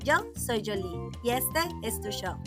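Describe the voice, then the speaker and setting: A woman hosting a podcast, welcoming and interviewing a guest, speaking at times haltingly with drawn-out pauses. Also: medium pitch, recorded from a distance, over music. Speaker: podcast host.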